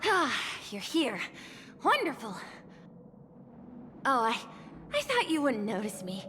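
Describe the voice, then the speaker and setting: A woman's voice: high voice, wildly swooping pitch, slow, heavily acted. A woman voicing an eager young female guide at a dream hotel in a video game, polite, earnest and apologetic. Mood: delighted, shy. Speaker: guest guide. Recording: long hall reverb, loud music behind.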